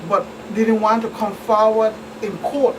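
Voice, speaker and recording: A woman recounting cases conversationally as a guest expert, in a halting, deliberate way. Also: a little high, little pitch movement. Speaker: police commander, guest expert. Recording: distant mic, clear background noise.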